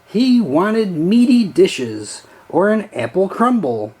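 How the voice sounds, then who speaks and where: Slow, animated man reading a children's story. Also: high voice, breathy edge. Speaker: storybook reader. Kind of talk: children's read-aloud storytelling.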